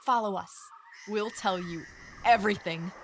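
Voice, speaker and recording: A woman's voice: medium pitch, moderate pace, noisy background, close to an everyday voice. A woman playing a cocky, playful flying boy who leads a band of children in an audio drama. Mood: bossy. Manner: boyish, breezy, bossy. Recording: music under the voice.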